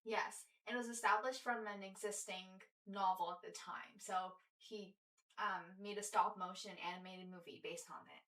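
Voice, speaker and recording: A young woman co-hosts a casual podcast, talking in a loose, rambling way full of fillers. Her voice sits at a middle pitch. It sounds roomy and a little far from the mic. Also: steady tone, normal speed, a bit bright. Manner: chatty, many fillers and restarts. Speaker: podcast co-host. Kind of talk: casual chat. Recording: roomy echo, distant mic.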